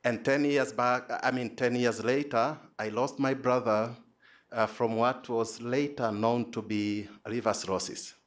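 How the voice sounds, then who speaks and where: A man with a Tanzanian accent giving an academic conference presentation as a PhD student, hesitant and halting, often repeating words. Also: mid-range voice, steady tone.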